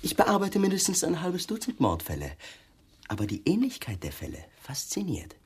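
A pushy male police detective in a radio crime drama. His voice is husky and swings widely up and down as he probes with mock politeness and sly insinuation. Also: high-pitched, huge pitch swings, moderate pace, exaggerated voice. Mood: insinuating.

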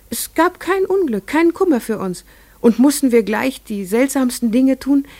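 An entranced farmer's wife, dry close sound. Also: female voice, medium pitch, a little mellow, dry recording. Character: farmer's wife and mother, entranced, remembering strange ravens. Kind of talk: literary radio play monologue.

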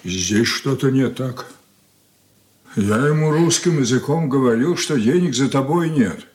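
A man's voice: mid-range voice, animated, measured pace, a little mellow, close to an everyday voice. An actor in a Soviet radio drama playing a stern, hard merchant father, curt, weighty and commanding. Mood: indignant, suspicious.

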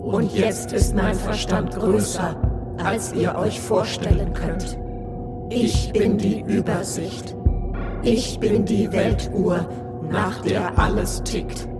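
An all-powerful machine that boasts of its own mind, high and slow-spoken. It proclaims itself the mother of thought, grandiose and self-glorifying, over loud music and gong tones. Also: steady tone. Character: all-knowing male Machine, grandiose and godlike. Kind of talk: radio play acting.

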